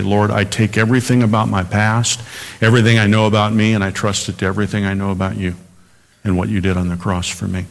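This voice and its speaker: Man, pastor, radio sermon, low-pitched, earnest, slow on verses, long hall reverb. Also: creaky. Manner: earnest preaching, slows down on scripture.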